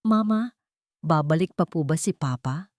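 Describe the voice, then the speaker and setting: A woman's voice: low voice, expressive, a little slow, darkish tone, no room sound, clean studio sound. A woman narrator voicing an innocent, eager small child in a story. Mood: wondering. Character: sweet small girl or boy, child of a café owner.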